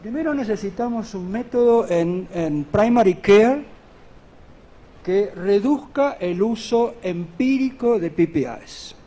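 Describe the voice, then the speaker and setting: A man's voice: high-pitched, dark tone. Earnest middle-aged man lecturing at a podium. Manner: earnest, measured explaining with rhetorical questions. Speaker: medical conference lecturer. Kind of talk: academic conference lecture. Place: large conference hall at a podium. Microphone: podium microphone on a stand.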